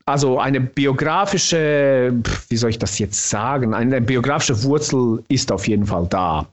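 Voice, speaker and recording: A man with a Bosnian accent, native in Bosnian, talking thoughtfully about his own novel and pausing often to find the right words. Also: medium pitch. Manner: thoughtful, searching for words with restarts.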